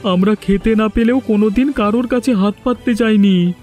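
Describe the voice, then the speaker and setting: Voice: mid-range voice, normal speed, a little mellow, soft background music, lightly acted. A performer playing an indignant, principled young villager in a cartoon, protesting emphatically. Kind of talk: Bengali cartoon voice acting.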